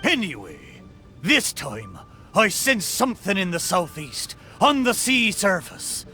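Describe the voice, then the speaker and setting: A man's voice: high-pitched, very animated, measured pace, bright, forceful tone, faint music behind, heavily acted. A man voicing a boastful, good-humored spirit of an old pirate ship in a video game, talking in hearty, swaggering pirate style. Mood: jovial, confident. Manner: hearty, bragging, swaggering pirate talk with "arr". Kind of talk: video game character acting.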